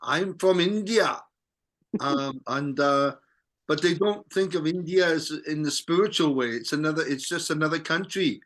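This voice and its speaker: A man with a bright, somewhat high voice leads an informal scripture study group. He talks conversationally, explaining verses and turning to others with questions. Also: moderate pace. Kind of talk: casual scripture discussion.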